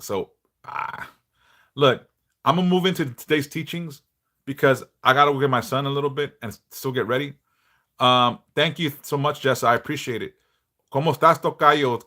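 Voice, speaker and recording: Big-voiced blunt man, bright tone. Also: moderate pace. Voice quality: big voice with bass. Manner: blunt, passionate, swears freely. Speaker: livestream host, devotional teacher. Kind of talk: casual livestream chat.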